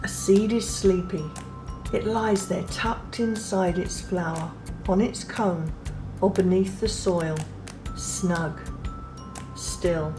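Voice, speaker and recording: A woman reading a children's picture book aloud in a gentle, unhurried way, pausing softly between phrases. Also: on the low side, steady tone, poor audio. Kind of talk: read-aloud storybook narration. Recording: read over clear background music.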